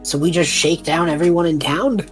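A woman playing a tabletop roleplaying game, speaking casually and haltingly in character. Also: low voice, faint music behind.

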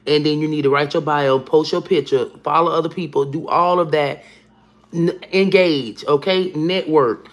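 A woman, chatting bluntly and profanely with viewers on a phone livestream from her kitchen. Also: normal speed. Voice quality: deep voice for a woman. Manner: blunt, profane, animated, talks to viewers by name. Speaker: livestream host. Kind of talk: casual livestream chat reading comments. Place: kitchen at home, indoors. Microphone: phone camera at arm's length.

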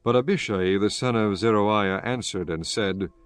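A man's voice: fairly low pitch, moderate pace, clear, resonant tone. A man reading scripted narration from a dramatized scripture recording.

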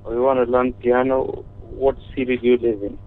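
A man handling a business call over the telephone, polite but halting and often repeating himself. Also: mid-range voice, flat delivery. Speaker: music lesson company owner. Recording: muffled, narrow telephone line.

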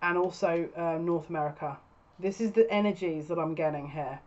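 A woman's voice: fairly low pitch, brisk, darkish tone. A woman in her thirties giving a casual, spoken tarot reading to camera as a psychic reader. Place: small furnished room at home, indoors. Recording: dull lo-fi webcam sound.

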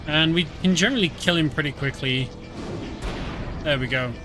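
A man streaming a game, explaining the story and fights in a relaxed, chuckling way. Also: a little high, normal speed. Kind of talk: casual game commentary. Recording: game music and sounds behind. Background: game music.

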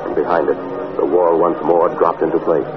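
A man gives solemn scripted narration for an old radio serial. His voice is very deep, on a tinny broadcast recording with a narrow band. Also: level tone, a little fast, loud music behind the voice, close to an everyday voice. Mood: suspenseful.

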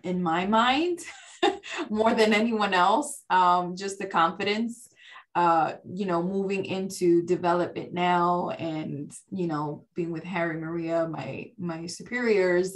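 A woman who works at a nonprofit speaking casually on a panel over a video call, self-deprecating and quick to laugh. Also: on the low side. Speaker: nonprofit development staff, panelist. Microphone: video call webcam.

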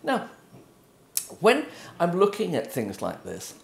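A man's voice: sits high, wildly swooping pitch, takes it slowly, vocal fry, echoey room, a little hiss or hum. A middle-aged man from England with an English accent, explaining photo printing casually to camera from his home office. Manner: conversational, gestures at prints while explaining. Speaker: photography educator. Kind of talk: unscripted explanatory talk to camera. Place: home office with bookshelves, indoors.

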